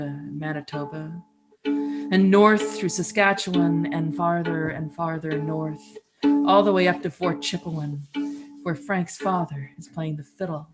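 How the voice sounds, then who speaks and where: A woman performing a dramatic reading as a musician and storyteller, with measured, reflective delivery. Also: deep voice. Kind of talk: dramatic reading of documentary theater.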